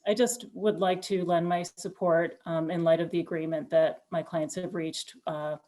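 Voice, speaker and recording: A woman's voice: fairly low pitch, fairly even intonation, moderate pace, dark tone. A woman attorney giving a formal, careful statement for her clients at a remote public hearing. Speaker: attorney for neighbors.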